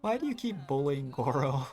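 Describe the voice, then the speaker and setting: A woman's voice: extremely low pitch, big pitch swings, moderate pace, a little mellow, slightly rough, soft background music. A woman giving casual live commentary as she streams a video game, musing aloud in a giggly, chatty way.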